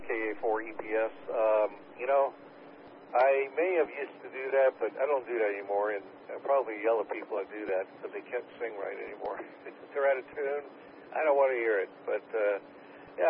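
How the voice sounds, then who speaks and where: A man checking in to a ham radio net, easygoing and chuckling as he answers. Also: mid-range voice. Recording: tinny radio transmission with faint hiss.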